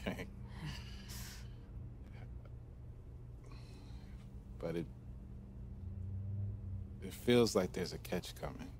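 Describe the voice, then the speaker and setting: A young man with a deep voice as a basketball prospect in a game cutscene. He is loyal, casual and a little guarded, talking naturally. There is clear noise behind the voice. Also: a little slow, darkish tone. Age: young adult. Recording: clearly noisy background ambience.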